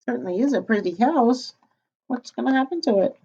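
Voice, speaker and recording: A woman reading a storybook aloud like a teacher, pausing to ask children questions and answer them. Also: a little high, warm tone, husky, lo-fi sound.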